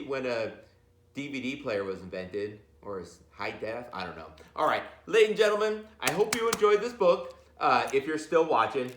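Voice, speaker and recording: A man reads a picture book to children with a very lively, swinging pitch. He is informal and jokey, moving between storytelling and chatty asides. The room around him is noticeably echoey. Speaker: teacher reading a picture book aloud. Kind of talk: animated children's read-aloud with chatty asides.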